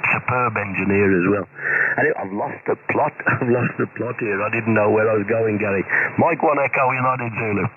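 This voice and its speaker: A man's voice: on the low side, brisk, husky. A British man, middle-aged or older, chatting casually and anecdotally as an amateur radio operator over shortwave. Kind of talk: casual rambling radio chat. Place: two-way radio link heard on receiver. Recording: noisy narrowband shortwave ham radio.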